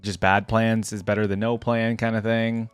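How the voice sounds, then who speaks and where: A man's voice: fairly low pitch, level tone, moderate pace, clean tone, no echo, faint music behind. A man hosting a casual reaction to game voice lines, with an amused, easygoing manner. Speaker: host of a game lore reaction channel.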